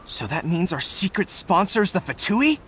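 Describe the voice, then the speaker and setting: Serious, high-voiced young general. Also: male voice, sing-song, moderate pace, fairly bright tone, a little hiss or hum. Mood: grave. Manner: earnest, formal military reporting. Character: dutiful, serious young male army general. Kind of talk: video game voice acting.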